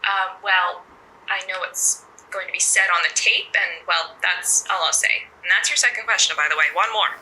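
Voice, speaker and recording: A calm counsellor type woman, coaxing, slightly exasperated, very bright crisp-edged voice, faint noise, audio drama. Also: on the low side, poor audio. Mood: guarded, wry. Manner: measured, coaxing, hedging with small hesitations. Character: intern's future self, steady counselling woman, mildly exasperated. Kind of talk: naturalistic audio drama acting.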